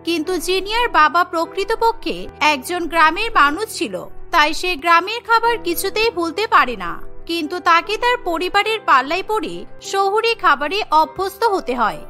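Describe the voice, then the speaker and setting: A woman's voice: very high voice, lively intonation, normal speed, bright, forceful tone, faint music behind. A woman narrating a scripted cartoon story as its storyteller.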